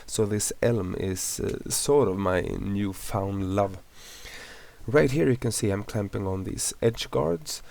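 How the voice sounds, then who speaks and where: A Swedish man, a hobby woodworker, narrating his build in a voice-over, unhurried with small fillers. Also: fairly low pitch, creaky, darkish tone. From Sweden.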